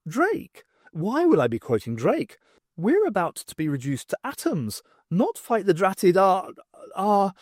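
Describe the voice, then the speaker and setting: Male reader as old male academic, audiobook, raised pitch, pompous, querulous, frail. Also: wildly swooping pitch, measured pace, no room sound, clean studio sound, heavily acted. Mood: tearful, querulous. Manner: florid, querulous.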